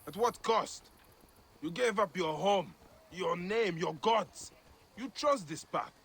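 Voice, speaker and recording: A man's voice: sits high, takes it slowly, fairly bright tone, husky, heavily acted. A man playing a weary, proud, short-tempered Egyptian warrior in a video game cutscene. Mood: indignant. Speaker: game protagonist.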